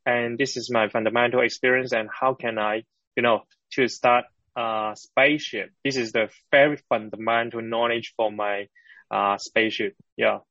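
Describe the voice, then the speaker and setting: A man from Hong Kong with a Hong Kong accent, answering interview questions as a startup founder in halting English with frequent pauses. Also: mid-range voice, level tone, sharp tone, no echo, lo-fi sound.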